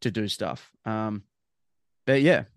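A man's voice: lowish voice, takes it slowly, dry recording, studio quality. Rambling Australian man talking footy. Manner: rambling, restarts phrases, emphatic. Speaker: podcast co-host. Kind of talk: casual sports chat.

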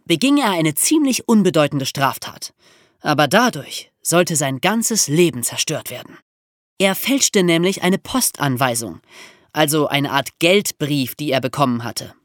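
A man's voice: on the high side, dry recording, clean studio sound. A man co-hosting a podcast, telling and explaining a historical story from a script. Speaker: podcast co-host.

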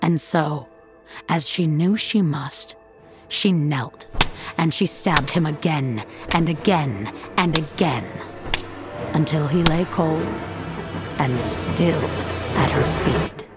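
A woman narrating scripted suspense fiction in a measured, dramatic storytelling manner. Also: deep voice, over music.

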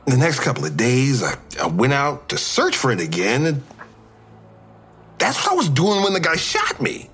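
A man playing an injured, tender boyfriend in an audio drama, earnest and a little halting. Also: mid-range voice, wildly swooping pitch, a bit bright, slightly rough, soft background music, heavily acted. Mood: confessional, nervous.

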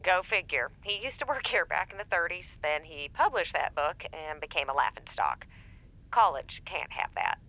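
A bright-voiced woman librarian on the phone. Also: fairly low pitch, expressive, moderate pace, lo-fi sound. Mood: businesslike, wry. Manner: dry, matter-of-fact. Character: brisk, dry female university assistant librarian. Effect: telephone filter. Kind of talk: naturalistic audio drama acting.

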